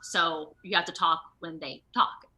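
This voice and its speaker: An American woman chatting casually as an interview guest, telling stories in an animated way. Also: on the low side, a little slow. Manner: animated storytelling, laughs often, acts out moments. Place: remote video call.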